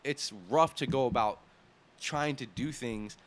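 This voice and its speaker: A young man in casual podcast talk, earnestly arguing his points and trailing off quietly. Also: mid-range voice, a little slow.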